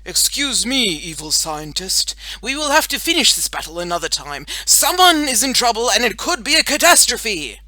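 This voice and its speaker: A woman performs a young boy as a pompous storybook superhero. He is high and loud, proclaiming every line with grand, urgent drama. The sound is bright and forceful. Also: very animated, moderate pace, hardly any pauses, no echo. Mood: bold, commanding. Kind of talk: children's audiobook character voice.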